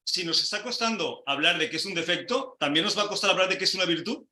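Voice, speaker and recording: A man's voice: high-pitched, expressive, fast talker, bright, forceful tone, reverberant, slight background noise. A man hosting a casual panel discussion, putting questions to each guest and backing them with short "ujú" sounds. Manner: leads with questions, frequent "ujú" backchannels. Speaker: host.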